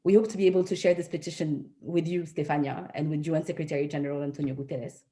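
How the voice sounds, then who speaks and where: A woman delivering a prepared advocacy speech as an education activist, speaking earnestly in measured, deliberate phrases. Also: deep voice, steady tone, dark tone. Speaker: youth education movement co-founder, speaker.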